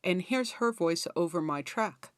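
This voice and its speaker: A woman's voice: fairly low pitch, lively intonation, measured pace, over loud music. A woman hosting a tutorial, explaining things in a conversational, instructional way. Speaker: host of songwriting tutorial.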